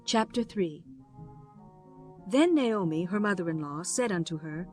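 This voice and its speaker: A woman's voice: fairly low pitch, expressive, unhurried, darkish tone, soft background music. A woman narrating scripture as a scripted, dramatized audio reading.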